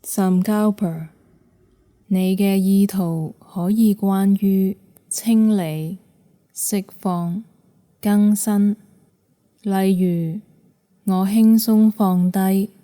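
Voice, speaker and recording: A woman with a Hong Kong Cantonese accent guides a yoga nidra meditation in a soft, measured, soothing way, leaving long pauses. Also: medium pitch, fairly even intonation.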